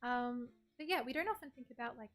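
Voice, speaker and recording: An Australian woman with a soft, breathy voice, chatting casually with her stream viewers and reading their messages aloud. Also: a little high, fast talker, soft background music. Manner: chatty, reads chat messages aloud and answers them. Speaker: game streamer.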